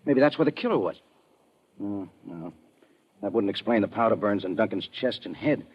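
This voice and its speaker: A man's voice: on the low side, expressive, normal speed, slightly rough. An American man acting as a businesslike, assured army lieutenant in a 1950s radio detective drama. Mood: businesslike, pondering. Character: matter-of-fact male American army police lieutenant. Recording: muffled, noisy old radio recording.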